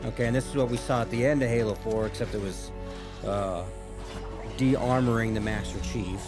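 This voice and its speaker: A man giving casual, halting running commentary as he reacts to video game cutscenes. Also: mid-range voice, steady tone, over music. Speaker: gaming reaction host. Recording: game soundtrack playing behind.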